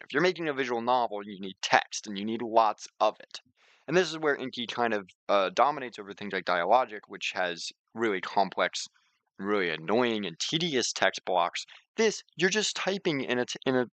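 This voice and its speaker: A man giving an informal screen-share software tutorial, talking conversationally and repeating words for emphasis. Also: fairly low pitch, dry recording.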